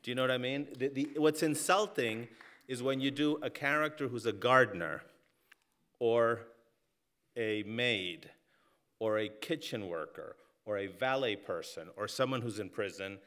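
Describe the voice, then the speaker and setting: A man's voice: mid-range voice, unhurried, a bit bright. A man from Mexico, a film and TV director speaking on a live panel, reflective and full of "you know" fillers and restarts. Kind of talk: panel discussion.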